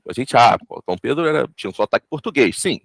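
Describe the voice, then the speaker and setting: A man's voice: mid-range voice, expressive, fast, creaky, dry recording. A Brazilian man chatting casually as he takes part in a discussion about creative work. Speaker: audio drama team member in a discussion.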